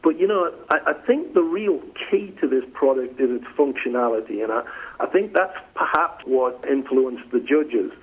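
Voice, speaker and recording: Middle-aged English man, business guest on a phone interview, husky voice, tinny phone line, stumbles and repeats words. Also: mid-range voice, expressive, normal speed. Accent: northeast English, softened by years in the US. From northeast England. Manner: conversational, repeats words when starting sentences. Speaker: flooring company president, guest.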